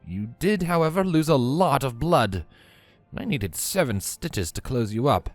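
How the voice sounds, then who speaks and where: A man voicing a brisk, courteous stallion doctor in a storybook reading, clinical and matter-of-fact. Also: mid-range voice, sing-song, soft background music, voice pushed up. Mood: professional, calm. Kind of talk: dramatised storybook fan fiction reading.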